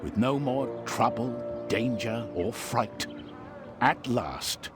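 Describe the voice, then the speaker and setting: An actor playing a theatrical storybook narrator of a fantasy game tale. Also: male voice, medium pitch, slow, music in the background, close to an everyday voice. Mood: storytelling.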